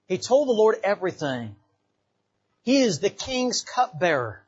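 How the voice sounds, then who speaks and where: A man's voice: high voice, husky, muffled recording. A man from the Bahamas preaching a revival sermon in a church, fervent and repetitive, often calling out amen.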